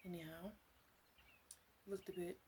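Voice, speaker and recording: A woman playing a flirty, caring witch in an ASMR roleplay, soft-spoken and often whispering, with a gentle, intimate, hesitant way of talking. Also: lowish voice, little pitch movement, unhurried, slight background noise, natural voice. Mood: doting, playful.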